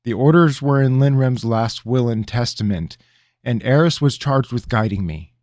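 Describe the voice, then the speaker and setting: A man's voice: medium pitch, moderate pace, darkish tone, dry recording, clean studio sound, voice pushed up, close to an everyday voice. A man doing a frightened young apprentice. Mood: anxious. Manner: respectful, anxious pleading. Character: young male apprentice mage, frightened and deferential.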